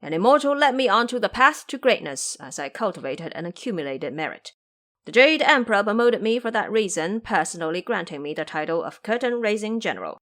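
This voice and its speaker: A woman's voice: medium pitch, very animated, a little fast, fairly bright tone. A woman voicing a boastful, man-eating male river monster in an audio drama, grandiose and threatening in manner. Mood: boastful, menacing.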